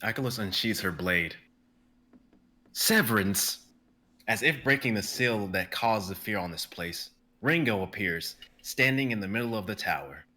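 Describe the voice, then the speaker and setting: A man narrating a live dramatic reading in a steady, plain way. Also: fairly low pitch, normal speed, few pauses.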